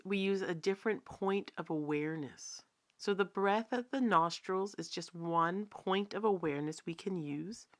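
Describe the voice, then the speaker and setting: A woman leads a guided body scan meditation in a slightly low voice. Her delivery is very slow and calm, with long pauses between phrases. She instructs gently, as a teacher would, never hurrying the listener.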